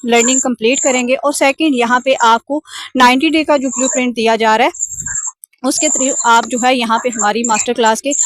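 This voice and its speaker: High-voiced woman giving sales pitch. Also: steady tone, brisk, fairly bright tone, dry recording, poor audio. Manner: wordy, instructive, mixes in many English terms. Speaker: sales coach pitching an online training course. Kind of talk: explanatory sales pitch on a call.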